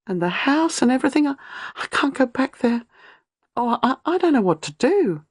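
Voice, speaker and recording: A woman's voice: sits high, sing-song, moderate pace, husky, no echo, clean studio sound, pitched above the performer's own voice, heavily acted. A woman reading an audiobook, voicing a frightened, distraught young woman who talks in breathless, pleading bursts. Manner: breathless, pleading, rising panic.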